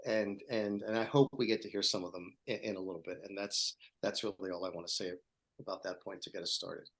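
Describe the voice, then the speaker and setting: A man's voice: deep voice, steady tone, fairly quick. A man who used to teach, a guest on a casual podcast, talking with enthusiasm. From Pittsburgh, Pennsylvania.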